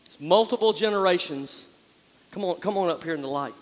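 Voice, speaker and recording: A man's voice: high-pitched, brisk. A man, hosting people on stage at church in an upbeat, coaxing way. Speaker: pastor. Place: large church hall with live band and congregation. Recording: hall reverb, loud band and crowd.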